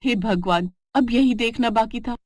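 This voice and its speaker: Young put-upon daughter-in-law for a cartoon, voiced by a woman, polite and flustered, bright. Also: on the high side, normal speed, dry recording, lightly acted.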